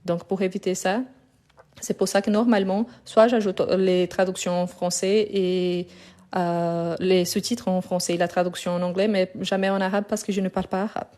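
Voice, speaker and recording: Female French teacher, Franco-Brazilian, soft mellow tone, informal livestream delivery, explanatory with repeated points. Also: fairly low pitch, level tone, normal speed. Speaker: French teacher hosting a live class. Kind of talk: informal livestream lesson talk.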